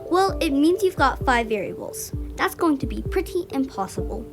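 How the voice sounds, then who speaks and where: A woman's voice: sits high, big pitch swings, moderate pace, music in the background. A female performer playing a precocious, bookish little sister, articulate and dryly teasing, in an audio drama. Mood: dry, analytical.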